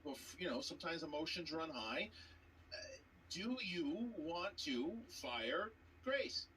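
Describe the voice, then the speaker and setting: Male show host, unscripted banter, fairly high voice with very lively intonation. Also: takes it slowly, distant mic, a little hiss or hum, low-quality recording.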